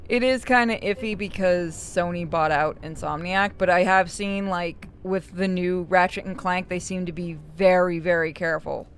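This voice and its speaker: A woman streaming a game and chatting casually with friends, blunt and quick to swear. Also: lowish voice, steady tone, a little hiss or hum. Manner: blunt, profane, gossipy. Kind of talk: casual gaming stream chat.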